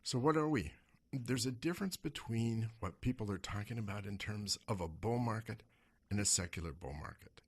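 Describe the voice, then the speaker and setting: A man hosts a radio program on money, his voice hoarse and husky. He speaks in a fairly low register, leaning on rhetorical questions with lively rises and falls. The sound is close studio microphone. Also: moderate pace, no echo. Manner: rhetorical questions, animated pitch swings. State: hoarse from smoke. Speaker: radio show host and investment advisor. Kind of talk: talk radio monologue.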